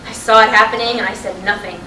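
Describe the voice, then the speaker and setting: A woman's voice: mid-range voice, fairly even intonation, quick pace, bright, forceful tone, lightly acted. A woman playing a frustrated, restless middle-aged wife in a staged play reading, insistent and emotional as she urges her husband on. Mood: bitter regret. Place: roomy hall, staged reading at a college. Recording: distant echoey stage recording with noise.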